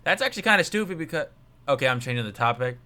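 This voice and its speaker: A young man casually reviewing songs on a livestream, rambling with lots of fillers and trailing off quietly. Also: mid-range voice, animated, very fast, smooth. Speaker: music reviewer, streamer.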